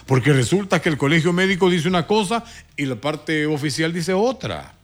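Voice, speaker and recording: Indignant radio host. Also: male voice, animated, moderate pace. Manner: indignant, emphatic, sharp rises in pitch. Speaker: radio talk show host. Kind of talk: opinionated morning radio commentary.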